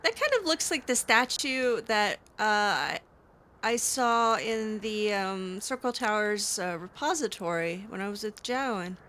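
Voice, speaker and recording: A woman's voice: mid-range voice, lively intonation, a little slow, sharp tone, a little hiss or hum. A woman playing a video game in character, giving casual commentary with wry, sarcastic, skeptical asides. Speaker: video game player roleplaying as a mage character.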